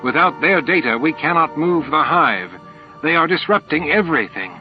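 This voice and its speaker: A man's voice: a little high, lively intonation, moderate pace, voice pushed up, lightly acted. A man voicing a flustered, anxious male alien underling in an audiobook, gasping, pleading and indignant. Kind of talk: audiobook character acting. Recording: dull lo-fi tape with music.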